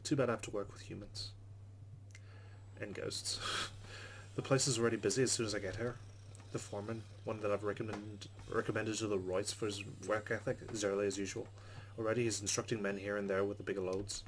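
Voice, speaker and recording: Guy doing a let's play read-through, talks quick, lowish voice, some noise behind. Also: little pitch movement, poor audio. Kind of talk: reading game text aloud with casual commentary.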